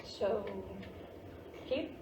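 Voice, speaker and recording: Woman playing earnest teenage girl, distant and echoey. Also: extremely slow. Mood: matter-of-fact. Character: Ivy, grieving seventeen-year-old half-Japanese American girl, practical daughter. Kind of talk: staged reading of a play. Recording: roomy, distant mic, faint noise.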